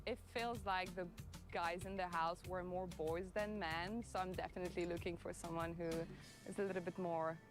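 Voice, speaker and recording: A woman's voice: fairly low pitch, steady tone, moderate pace. A young woman from France with a French accent, playfully teasing and laughing through a casual date chat. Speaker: reality dating show contestant. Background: show music.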